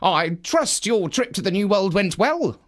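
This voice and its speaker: A man's voice: high-pitched, very animated, unhurried, voice pushed up. A man voicing an anxious, deferential male steward in a children's storybook, polite and careful in manner. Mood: nervously polite.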